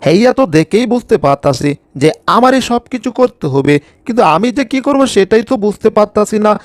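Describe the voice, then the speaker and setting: A high-voiced cartoon bulldog, played by a man, anxious but taking charge. He grumbles and scolds in exclamations. The sound is very dry. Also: big pitch swings, fast talker, dry recording. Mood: scheming. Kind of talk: cartoon fan dub.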